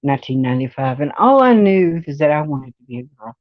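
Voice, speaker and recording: A woman's voice: deep voice, sing-song, quick pace, no echo, lo-fi sound. A woman in her forties giving a casual, rambling personal monologue, often circling back to repeat points. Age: 40s. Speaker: vlogger sharing personal experience.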